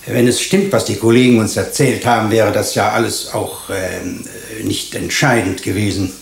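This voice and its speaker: An actor playing a brisk, authoritative medical professor in a radio drama, asking curt questions as the one in charge. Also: male voice, on the low side, fairly even intonation, creaky. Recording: roomy echo.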